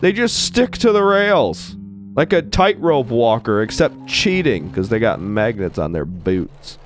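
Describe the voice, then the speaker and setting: Playful man with a lively voice over game music. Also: medium pitch, measured pace. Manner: playful, rambling, self-mocking. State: recovering from losing his voice. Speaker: gaming channel host. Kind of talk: Let's Play commentary with character voices.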